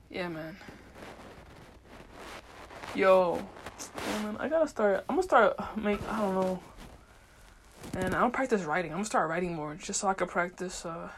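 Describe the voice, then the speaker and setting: A woman's voice: medium pitch, fairly bright tone, slight background noise, low-quality recording. A woman talking off the cuff as a podcast host in a casual, slangy monologue, swearing freely and meandering as she thinks aloud. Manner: slangy, heavy swearing, unfiltered.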